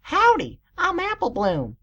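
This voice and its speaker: A naive young country filly from a pony story. The voice sits very high and swoops about with a southern drawl. It is innocent and plain-spoken. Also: female voice, huge pitch swings, a little slow, sharp tone, highs cut off, heavily acted. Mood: friendly.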